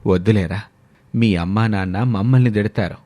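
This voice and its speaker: A man acts out an elderly father in a moral family story. His voice sits low and heavy, and he speaks with reproach, like a grandfather who feels slighted at home. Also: normal speed, warm tone, lightly acted. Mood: resigned.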